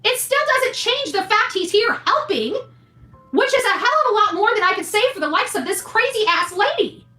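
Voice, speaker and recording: Female livestream host, indignant and blunt, animated bright delivery, scolding opinion rant with repetition. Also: very high voice, normal speed. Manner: indignant, scolding, repeats phrases for emphasis; blunt, mildly profane. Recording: echoey room, faint music behind.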